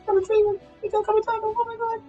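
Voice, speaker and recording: Streamer: squealing, very high and animated live gameplay reactions, excitable, light music behind. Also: very fast, dull audio.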